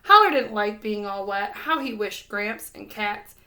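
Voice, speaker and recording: A woman narrating a children's storybook aloud in an expressive read-aloud style. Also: mid-range voice, a little slow. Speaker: storybook reader.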